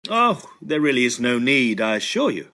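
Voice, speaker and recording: A man's voice: mid-range voice, very animated, moderate pace. An actor playing a polite, sensible young male medical student in a period audio drama, courteous and a little hesitant. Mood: amiable, courteous. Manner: hesitant, courteous, gently admonishing.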